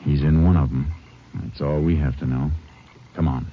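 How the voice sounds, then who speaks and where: A very deep-voiced, terse Texas Ranger. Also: male voice, moderate pace, background noise. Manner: calm, clipped, matter-of-fact. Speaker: Texas Ranger, lead and narrator. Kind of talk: 1950s radio drama acting. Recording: muffled old radio broadcast with music.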